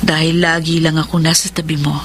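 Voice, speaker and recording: A forgiving, devoted woman in love for radio drama, her voice gentle and slightly low. She, with clear background noise around her. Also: little pitch movement, brisk. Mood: reassuring. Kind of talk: Filipino radio drama acting.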